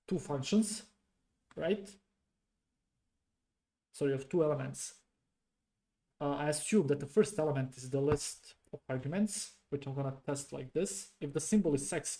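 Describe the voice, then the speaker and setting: Unhurried man talking himself through code, soft mellow voice, halting and mumbled. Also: mid-range voice, low-quality recording. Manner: slow, halting, muttering to himself. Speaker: programming streamer. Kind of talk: thinking aloud while debugging code.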